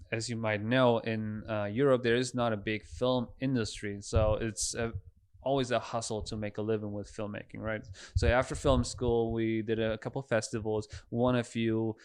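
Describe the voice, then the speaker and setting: Male podcast guest, German accent, low clear voice, relaxed conversational storyteller.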